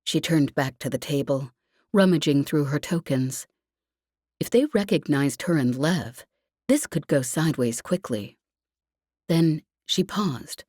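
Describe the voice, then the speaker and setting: A woman's voice: low-pitched, unhurried, darkish tone, no room sound. A woman reading scripted fiction as an audiobook narrator, recorded close. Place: dry, close recording space.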